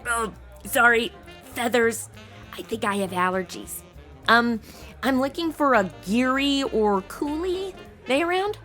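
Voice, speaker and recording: A woman acts a brash young showgirl impostor in a comedy noir audio drama. Her voice is fairly high and very lively, full of sarcasm and blunt modern slang, with music underneath. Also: a little slow. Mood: sassy.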